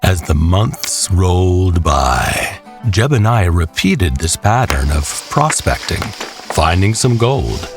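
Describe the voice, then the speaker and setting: A man narrating a scripted horror tale in a dramatic, measured way with pauses. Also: sits low, big pitch swings. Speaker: storyteller. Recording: music behind the voice. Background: clear background music.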